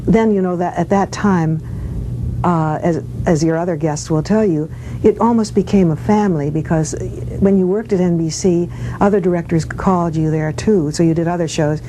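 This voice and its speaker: An older woman, a former radio actress, reminiscing casually in an interview and telling anecdotes in an animated way. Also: moderate pace. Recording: noisy with low rumble.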